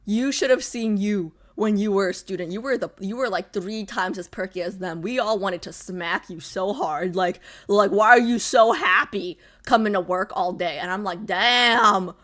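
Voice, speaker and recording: A woman's voice: medium pitch, moderate pace, hardly any pauses, fairly bright tone. A young adult woman streamer chatting casually with her viewers, bubbly and full of fillers. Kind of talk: casual chat with live chat.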